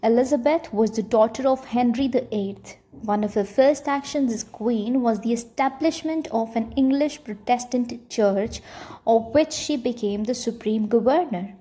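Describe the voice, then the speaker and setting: High, mellow-voiced woman narrator. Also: a little slow, slightly rough. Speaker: narrator of an educational history film. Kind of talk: scripted educational narration.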